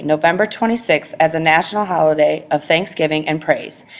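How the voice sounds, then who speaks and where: A woman reading a school presentation aloud over a video call, stressing each food word. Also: deep voice. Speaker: student presenter.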